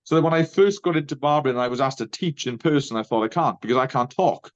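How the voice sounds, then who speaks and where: A man's voice: medium pitch, quick pace, dull audio. A middle-aged man from Wales with a Welsh accent, a native Welsh speaker, talking earnestly as an interview guest. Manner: earnest, reflective, says mate often. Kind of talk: casual interview chat.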